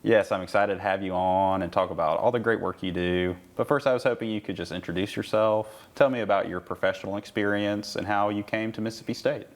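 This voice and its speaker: A man's voice: on the low side, steady tone, a little fast, few pauses. A man hosting a university interview show, asking upbeat, enthusiastic questions. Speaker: host.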